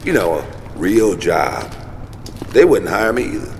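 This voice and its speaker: An actor playing a streetwise, insistent, world-weary homeless conspiracy believer in an audio drama. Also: male voice, low voice, unhurried, gravelly, heavily acted. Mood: bitter, insistent. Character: streetwise, conspiracy-minded homeless man, an earnest mentor. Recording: reverberant hall with steady noise.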